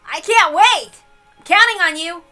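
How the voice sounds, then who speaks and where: Woman playing excitable young guy, story character, brash, loud, exuberant, eager, raised high voice. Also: measured pace, soft background music, exaggerated voice. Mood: excited.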